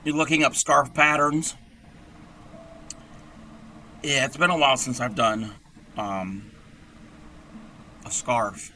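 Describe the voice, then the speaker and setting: A man with a hoarse, scratchy voice who talks through a crochet tutorial in a rambling, chatty way and clears his throat often. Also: mid-range voice, steady tone, slow, long pauses, sharp tone. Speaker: crochet livestream host.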